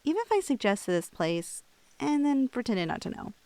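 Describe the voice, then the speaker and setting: A shy young cosplayer with a crush, played by a woman in an ASMR roleplay. Her voice sits slightly high and sounds close and very dry. She talks in girlish slang, halting and bashful, as if confiding in a friend. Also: sing-song, mellow, no echo. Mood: wistful, hesitant. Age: young adult. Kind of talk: intimate ASMR roleplay acting. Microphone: close, dry studio-style microphone.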